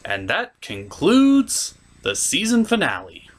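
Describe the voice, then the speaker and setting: Male online reviewer, slightly high voice that swoops around, casual and opinionated, showy and blunt. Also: wildly swooping pitch, slow.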